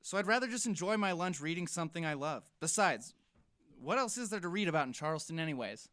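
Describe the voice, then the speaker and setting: Nerdy eager teen boy, highish voice, teasing, audio drama dialogue. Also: animated, fast, a bit bright. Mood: playful pleading. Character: eager, teasing teenage boy obsessed with sea legends.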